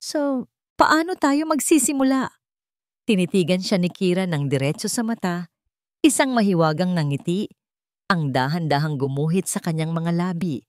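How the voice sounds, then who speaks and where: A woman's voice: on the low side, moderate pace, a little mellow, dry recording, studio quality. A woman with a Filipino accent narrating a scripted romance story in a dramatic radio-drama style.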